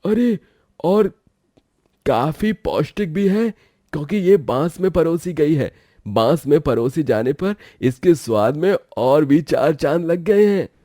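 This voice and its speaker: A cheerful customer who gushes with praise in a lifted, bright voice, as a man acts him out. Recorded close and dry in a cartoon. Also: on the high side, lively intonation, unhurried, dry recording. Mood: delighted. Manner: gushing praise. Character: delighted customer, effusive and cheerful.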